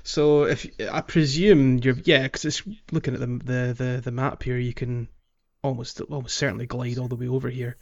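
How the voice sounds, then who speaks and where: A man with a mid-pitched voice talks casually about video games as a podcast co-host. He feels his way through sentences, repeating words as he goes. The audio is a little dull and lo-fi. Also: level tone. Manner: halting, repeats words as he thinks. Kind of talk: casual video game chat.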